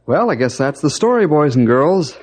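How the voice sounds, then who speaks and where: A man playing an earnest storyteller, narrating an old-time radio adventure dramatically for young listeners in a husky voice. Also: fairly high pitch, very animated, normal speed, loud music behind the voice. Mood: friendly, wrapping up. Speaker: narrator and actor.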